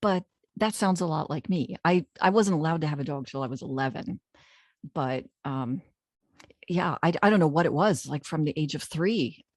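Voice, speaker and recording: Brief: middle-aged woman, podcast host, low-ish voice, close dry mic, relaxed chatty delivery. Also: moderate pace, no echo. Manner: chatty, laughs easily. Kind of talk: casual interview chat. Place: indoor room. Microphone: studio mic.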